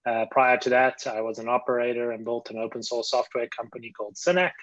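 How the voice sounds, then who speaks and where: A man's voice: mid-range voice, steady tone, fast talker, fairly bright tone, no room sound, highs cut off. A man with a South African accent introducing himself on an online panel, speaking fluently and upbeat. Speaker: accelerator head, panelist. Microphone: video call.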